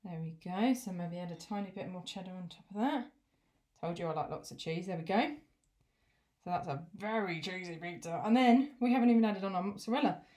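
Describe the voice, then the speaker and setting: A young woman teacher in her home kitchen, gently explaining each cooking step to children as she works. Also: fairly low pitch, brisk.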